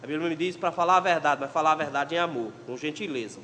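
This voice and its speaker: High-voiced Pernambuco preacher in a reverberant church. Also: male voice, quick pace, a little hiss or hum. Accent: Pernambuco Portuguese. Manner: emphatic, swings between loud exhortation and quiet asides. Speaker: pastor. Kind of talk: sermon. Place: church sanctuary.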